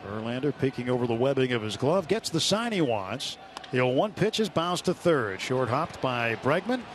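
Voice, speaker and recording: A man calling baseball play-by-play live on the radio in a measured, descriptive way. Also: medium pitch, big pitch swings. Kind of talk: live sports commentary. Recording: clear stadium noise behind live broadcast. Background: outdoor ballpark ambience.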